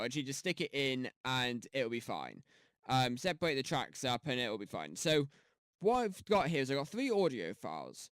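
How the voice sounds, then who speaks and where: A man's voice: medium pitch, no room sound, studio quality. A man hosting a casual audio-editing tutorial on a headset mic, rambling with fillers and restarts. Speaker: YouTube tutorial host. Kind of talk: casual screen-recorded tutorial. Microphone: gaming headset mic.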